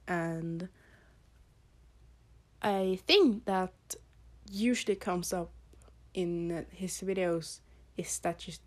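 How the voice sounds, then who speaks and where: A young woman from Norway with a Norwegian accent, hosting a casual podcast, rambling in a halting way with fillers and soft laughs. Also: lowish voice. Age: teens or young adult. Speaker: podcast host.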